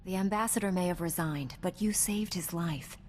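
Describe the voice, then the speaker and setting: A woman's voice: lowish voice, moderate pace, close to an everyday voice. An actress playing a loyal, caring young female Jedi knight in a video game, speaking in an earnest, reassuring way. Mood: earnest, supportive. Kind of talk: scripted video game dialogue. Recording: long reverb, clear background noise.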